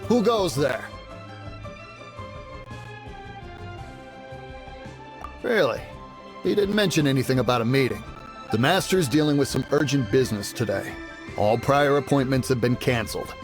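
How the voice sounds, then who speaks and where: A man's voice: mid-range voice, expressive, a little fast, natural voice. An actor playing a servile, nervous male guard in a video game, prone to getting flustered, with music behind him. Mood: officious. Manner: stammering, pleading when flustered. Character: nervous servile adult male gatekeeper guard, easily cowed. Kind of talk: video game character acting.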